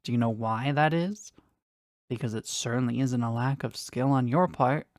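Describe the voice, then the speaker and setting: A woman playing a powerful, jealous demon devoted to their master in an intimate audio roleplay, speaking deliberately and intensely in a husky, breathy voice. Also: darkish tone, dry recording, studio quality. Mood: possessive, proud. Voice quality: husky, breathy, low creaky voice. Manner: slow, deliberate, intense, dramatic.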